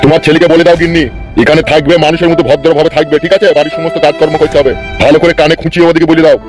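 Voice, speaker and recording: A man playing a stern old father in a comedy cartoon, gruff, scolding and commanding. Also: on the high side, flat delivery, very fast, music in the background, lightly acted. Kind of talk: Bengali comedy cartoon dialogue.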